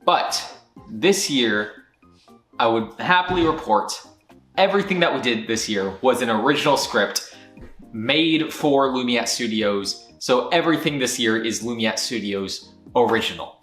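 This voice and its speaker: A man's voice: medium pitch, animated, measured pace, a bit bright. A man hosting his channel's casual year-end recap, rambling and breaking off into jokey asides. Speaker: channel host. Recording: roomy echo with faint music.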